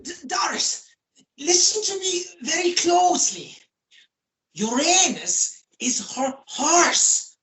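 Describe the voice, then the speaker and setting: A woman's voice: very high-pitched, expressive, takes it slowly, fairly bright tone, full character voice. A woman playing a hapless, flustered man who pleads and protests in a radio play. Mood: coaxing, placating.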